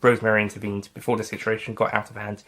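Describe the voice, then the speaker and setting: A male storyteller reading narration. His voice is bright and husky. He reads plainly and evenly between the voiced lines. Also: lowish voice, flat delivery, very fast. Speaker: storyteller and writer.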